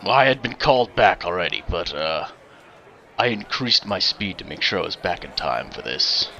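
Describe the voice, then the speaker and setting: An actor playing a terse, gruff alien warrior hero in an audio drama, speaking formally and haltingly. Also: male voice, deep voice, very animated, bright, forceful tone, muffled recording, a little hiss or hum, full character voice. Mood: stiff, reserved.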